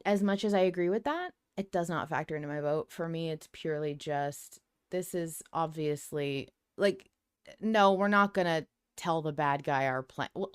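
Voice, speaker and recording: A woman's voice: on the low side, smooth. A woman co-hosting a podcast in casual, opinionated chat, blunt and emphatic, swearing freely. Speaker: podcast co-host discussing a fiction series.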